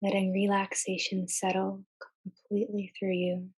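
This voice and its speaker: A woman leading a guided meditation in a gentle, soothing manner, speaking in short phrases with long pauses. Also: mid-range voice, monotone, breathy edge, poor audio.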